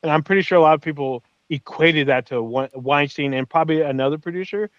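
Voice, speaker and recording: A man's voice: fairly high pitch, brisk, no echo, lo-fi sound. A man co-hosting a podcast, talking in an enthusiastic, casual way and swearing freely. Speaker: podcast co-host. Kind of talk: casual chat.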